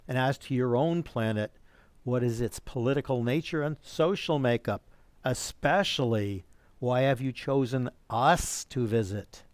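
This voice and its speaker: A formal assembly leader, acted by a man, with a medium-pitched voice and a dry, close sound. He is orderly, ceremonious and authoritative, in radio play style. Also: no echo, close to an everyday voice. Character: formal male official chairing a world assembly.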